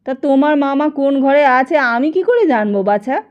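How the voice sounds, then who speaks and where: A woman's voice: sits high, expressive, highs cut off, pitched above the performer's own voice. A woman voicing a brash older brothel madam in an audiobook, scolding and suspicious, firing off colloquial questions. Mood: brusque, bargaining. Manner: loud, colloquial, rapid-fire questioning.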